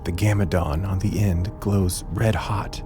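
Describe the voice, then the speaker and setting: A man's voice: sits low, steady tone, unhurried. An actor playing a frightened, dying prisoner who recounts his last moments in a strained, dramatic first-person narration over music. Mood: fading.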